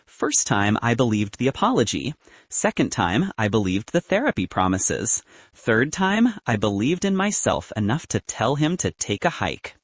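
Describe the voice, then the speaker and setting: A man's voice: mid-range voice, big pitch swings, moderate pace, husky, dry recording. A man voicing a young woman physicist in an audiobook, confident and frank, with a dry, advice-giving manner. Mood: wry, candid.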